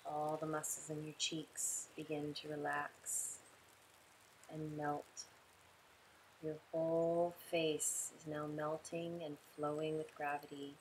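A woman leading a guided relaxation meditation in a soothing, unhurried way, giving instructions in short, spaced phrases. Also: deep voice, level tone, slight background noise, rough recording.

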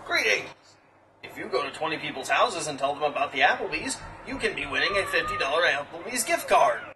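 A man's voice: a little high, very animated, fairly quick. Man doing an eager puppet scammer, noisy recording. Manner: stilted broken English. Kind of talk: comic puppet sketch. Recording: distant mic with clear background noise.

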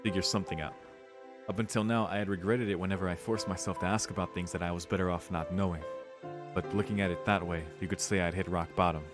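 A man's voice: low voice, fairly even intonation, fast talker. A man reading a visual novel aloud as a streamer, with casual, sweary asides. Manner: casual, profane reactions between read passages. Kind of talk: let's-play narration with casual asides. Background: game music.

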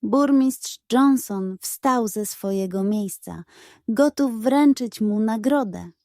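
A woman reads a children's bedtime tale at an unhurried pace. Her voice is fairly high and mellow, recorded close and dry. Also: no echo. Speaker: storyteller. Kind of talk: scripted bedtime story narration for children.

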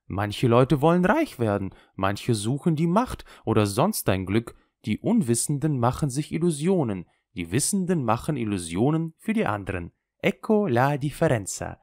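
A sly old conjurer with an Italian accent, worldly and cynical, mocking everything he has seen, as a man puts him on. His voice leaps and dips with lively expression. The sound is dry and close. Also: medium pitch, moderate pace, hardly any pauses, dry recording, natural voice. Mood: dismissive.

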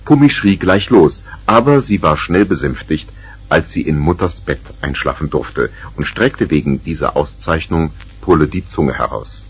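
A man's voice: extremely low pitch, lively intonation, moderate pace, breathy edge, faint music behind. A man narrating a children's radio play from the 1970s in a scripted storytelling style.

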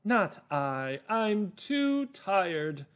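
Man doing a weary high-voiced storybook cat. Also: sing-song, fairly bright tone, clean tone, heavily acted. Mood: weary refusing. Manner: drawn-out, weary complaining. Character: tired, reluctant storybook cat making excuses.

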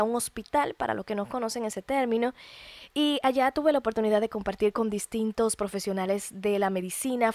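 A Dominican woman in her early thirties hosting a podcast, telling a personal testimony in a heartfelt, expressive way with colloquial asides. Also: a little high, dry recording. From Dominican Republic. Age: early 30s. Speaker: podcast host.

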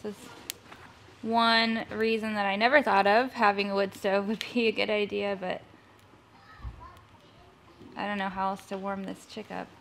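A woman in her thirties narrating a casual homestead vlog in a gentle, soft-spoken way, recorded on a handheld camera indoors. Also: medium pitch, flat delivery, moderate pace, creaky, long pauses, slight background noise. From Missouri, USA. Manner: soft-spoken, gentle, talks to animals and children. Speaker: homesteading vlogger. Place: farm building and home kitchen, indoors. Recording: music playing under the voice. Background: background music.

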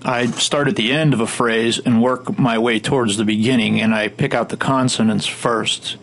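A man giving informal, step-by-step instructional narration as a software tutorial instructor, with a gravelly voice. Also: medium pitch, steady tone, moderate pace, poor audio. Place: indoor voice-over for a screen recording. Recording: faint background noise.

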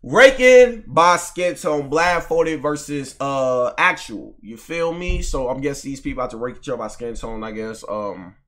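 A man's voice: medium pitch, expressive, normal speed, few pauses, a bit bright. Young man ranting casually to his stream chat. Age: young adult. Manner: loose, profane, self-mocking rambling. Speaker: streamer reacting to a video. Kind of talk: casual reaction commentary to chat. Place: home room at a desk.

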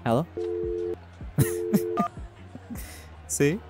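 A man voicing a chill, cocky male jaguar shifter who is a pack alpha, talking in a teasing, laid-back way. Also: drawn-out words. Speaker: audio roleplay performer. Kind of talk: scripted audio roleplay monologue. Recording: music playing behind. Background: party music.

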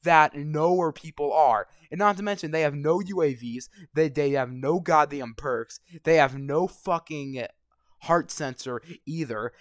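A young man with a slightly hoarse voice, ranting and swearing constantly while commenting over gameplay. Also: fairly high pitch, dry recording, studio quality. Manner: angry, swearing constantly, rambling. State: voice going hoarse. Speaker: gaming vlogger.

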